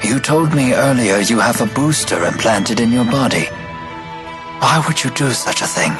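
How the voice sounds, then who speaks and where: An actor playing a wise, courteous older man in a video game, with a measured and earnest delivery. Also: mid-range voice, animated, music in the background, natural voice. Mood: grave. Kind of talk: dramatic game voice acting.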